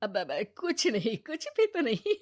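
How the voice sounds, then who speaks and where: A woman's voice: high-pitched, very animated, slow pace, full character voice. A woman voicing a shrewd older mother-in-law with secret powers in a moral story, speaking expressively. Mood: flustered, obliging. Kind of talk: acted Hindi moral story dialogue.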